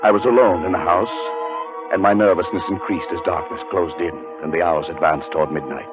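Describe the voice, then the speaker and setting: An actor playing a haunted, nervous scholarly gentleman, narrating in a brooding, suspenseful 1940s radio drama style. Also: low voice, steady tone, fairly quick, natural voice. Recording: muffled 1940s radio recording with music.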